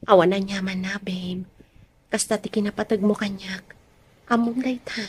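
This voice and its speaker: A woman playing a devoted young girlfriend in a Filipino radio drama, soft, pleading and affectionate. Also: mid-range voice, fairly even intonation, normal speed, slightly rough. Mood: loving. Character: devoted young girlfriend longing to get married.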